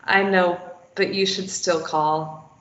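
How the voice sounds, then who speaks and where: A woman's voice: fairly low pitch, steady tone, takes it slowly, a bit bright. A woman in a table read voicing a stubborn young woman who answers in a dry, understated way. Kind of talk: table read of a stage play. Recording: roomy, muffled lo-fi recording.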